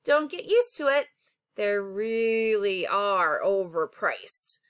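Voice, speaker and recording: A woman's voice: medium pitch, animated, slow pace, clear, resonant tone, dry recording, studio quality, pitched below the performer's own voice. A woman voicing a proud, folksy mother selling farm eggs in a children's storybook read-aloud. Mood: matter-of-fact.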